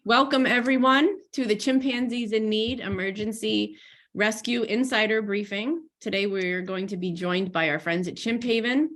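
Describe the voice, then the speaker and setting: A woman's voice: mid-range voice, fairly even intonation, normal speed, a bit bright. Stuffy-voiced woman hosting a webinar. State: stuffy from a cold. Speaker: host, program director. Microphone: video call.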